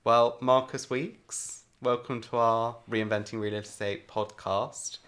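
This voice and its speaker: A man's voice: medium pitch, fairly even intonation, slow, fairly bright tone, faint music behind. A man hosting a podcast, introducing and questioning a guest in a conversational style. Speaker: podcast host.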